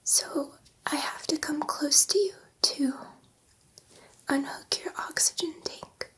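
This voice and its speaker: Woman playing a gentle whispering alien scientist. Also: sits high. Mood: gentle, careful. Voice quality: soft breathy whisper. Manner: soothing, slow, repeating words reassuringly. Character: gentle, excitable female alien scientist soothing an abducted human. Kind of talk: ASMR roleplay.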